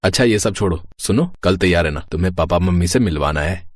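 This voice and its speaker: A man playing a simple, good-natured young village-bred husband in a cartoon story, with a husky voice and patient, teasing manner. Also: low voice, expressive, fairly quick, dry recording.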